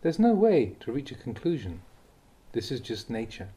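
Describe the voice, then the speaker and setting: Guy reading dhamma talk audiobook style, warm relaxed voice, pitch moves around a lot, deliberate phrasing. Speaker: reader of Buddhist teachings.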